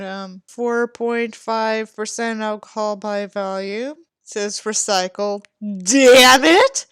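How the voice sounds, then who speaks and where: A woman's voice: fairly high pitch, a bit bright, smooth, dry recording, studio quality. A woman doing a sarcastic dramatic reading with asides, theatrical and sardonic, given to swearing. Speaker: host reading a book aloud with commentary.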